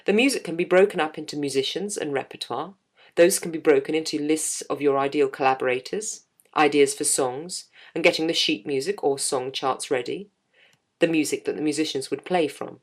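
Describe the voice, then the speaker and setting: Low-voiced woman, wry narration. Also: brisk. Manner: wry, self-mocking humour. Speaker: jazz singer and podcast host. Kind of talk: reading her own blog aloud.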